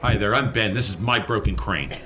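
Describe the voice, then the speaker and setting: A man's voice: fairly low pitch, fairly quick, sharp tone, slight background noise. A man playing a tabletop role-playing game, chatting and improvising casually. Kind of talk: casual chat and role-play.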